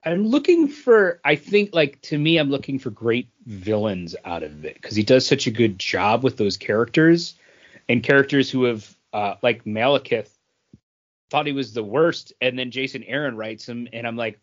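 A man's voice: mid-range voice, animated, moderate pace. Man chatting, dull lo-fi sound. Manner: enthusiastic, wordy fan talk. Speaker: comic book podcast co-host. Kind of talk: casual chat.

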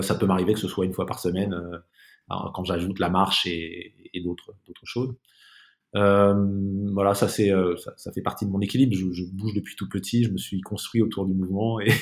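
A man's voice: low voice, a little mellow. A man speaking as a podcast guest in a casual, reflective interview, searching for his words and often repeating them.

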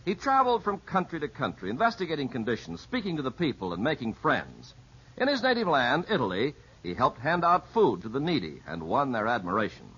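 A man's voice: mid-range voice, huge pitch swings, a little fast, fairly bright tone, husky. A man reading scripted narration as a 1950s radio announcer. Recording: dull vintage radio recording.

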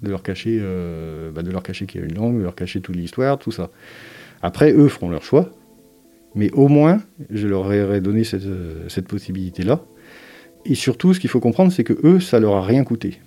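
A man speaks low and soft-toned in an interview, reflecting slowly on family life. He pauses often and fills gaps as he searches for words, his timbre warm and dark. The delivery stays thoughtful and unhurried. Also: expressive, soft background music. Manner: hesitant, thoughtful, frequent fillers. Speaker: interviewee, parent.

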